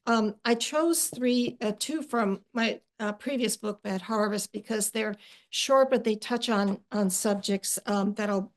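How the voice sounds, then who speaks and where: A middle-aged woman poet, talking with fillers and reading her poems slowly and deliberately. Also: medium pitch. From Ukrainian-American family. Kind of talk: poetry reading and conversation.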